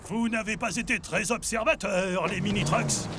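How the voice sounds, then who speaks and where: A man's voice: high voice, animated, moderate pace, gravelly. Cartoon grandfather truck: cheerful, instructive, very varied intonation. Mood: questioning, patient. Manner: theatrical, instructive. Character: cheerful grandfather truck, guiding and encouraging his young trucks. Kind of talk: children's cartoon dubbing. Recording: music behind the voice.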